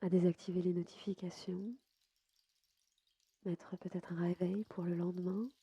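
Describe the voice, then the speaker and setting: A woman softly guiding a sleep meditation as a yoga nidra host, unhurried and soothing, with a breathy voice that sometimes nears a whisper. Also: lowish voice, level tone, no room sound. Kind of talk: guided sleep meditation narration.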